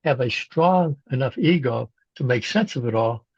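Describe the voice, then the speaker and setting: An older man speaking as an interview guest about psychology and spiritual practice, thoughtful and halting, often restarting his sentences. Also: medium pitch, expressive, darkish tone, breathy edge, dry recording. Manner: halting, with frequent restarts and fillers. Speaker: guest, Jungian analyst and shamanic practitioner. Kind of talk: reflective interview conversation. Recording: muffled, dull-sounding audio.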